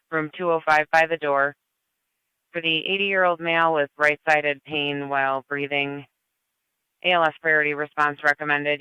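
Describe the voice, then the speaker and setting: A man's voice: on the high side, clear, resonant tone, dry recording. A man working as a fire and EMS dispatcher, reading formal, methodical announcements over two-way radio. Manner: methodical, repeats details. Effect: two-way radio transmission. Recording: dull band-limited scanner radio.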